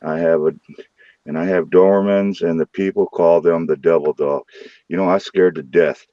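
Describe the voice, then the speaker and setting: A man with a St. Louis accent hosting a late-night live stream from his room, joking loudly and swearing freely. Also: low voice, steady tone, normal speed, no echo, highs cut off. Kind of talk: casual live stream chat.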